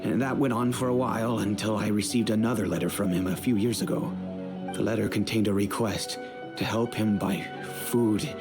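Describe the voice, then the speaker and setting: An actor playing a middle-aged, remorseful wealthy merchant and father in a video game, speaking in a measured, earnest, formal way. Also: lowish voice, fairly even intonation, over music, close to an everyday voice. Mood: regretful, troubled. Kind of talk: dramatic video game dialogue.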